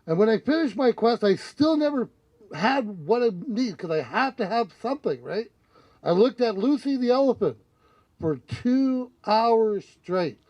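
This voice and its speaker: A man's voice: very high-pitched, lively intonation, measured pace, no room sound, highs cut off. An older man, a council candidate, telling a rambling, earnest spiritual story into a handheld mic in an outdoor tent. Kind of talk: candidate forum speech. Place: outdoor tent at a community forum. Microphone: handheld mic on a stand.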